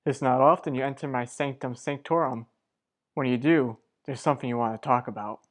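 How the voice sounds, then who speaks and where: Woman as steady soap opera husband, low pitch, mild, measured, gently probing. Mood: kindly, probing. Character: mild, steady, kindly husband devoted to work. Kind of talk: old-time radio soap opera acting.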